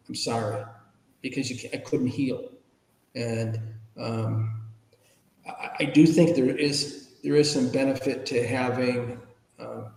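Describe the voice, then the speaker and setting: An American man speaks as an interview guest in a candid, informal, reflective way. His pitch sits in the middle range for a man. The room around him is echoey, with a long reverberant tail. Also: gravelly, a little mellow. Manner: candid, informal, occasionally salty. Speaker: podcast guest, ethics trainer and public speaker. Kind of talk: reflective personal interview answers.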